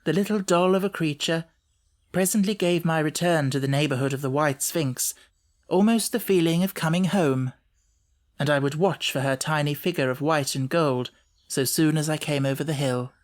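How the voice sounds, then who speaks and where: Man narrating a classic novel, measured delivery. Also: fairly high pitch. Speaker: audiobook narrator. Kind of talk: scripted literary first-person narration.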